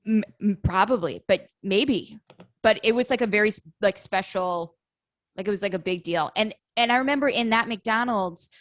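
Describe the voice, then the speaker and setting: A woman's voice: medium pitch, moderate pace, no room sound, studio quality. A young woman from Illinois, a podcast guest, reminiscing about her hometown in a rambling, giggly way with many fillers. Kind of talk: casual chat.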